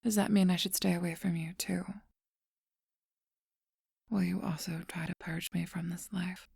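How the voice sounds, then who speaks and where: Woman doing a vampire girlfriend whispering, low gravelly fry, super breathy, flat and slow, asmr close mic. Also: dry recording, exaggerated voice. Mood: teasing.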